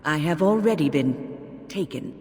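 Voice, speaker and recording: A woman's voice: sits low, animated, measured pace, darkish tone. A woman voicing a resolute, earnest female mage in a fantasy video game. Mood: stern, resolute. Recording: long hall reverb.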